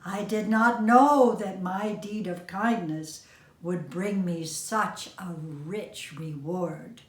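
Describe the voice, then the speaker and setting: Lady doing a big proud lion king from a storybook, slow n imperious, echoey room. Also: on the low side. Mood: grateful. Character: huge proud male lion, growling but merciful king.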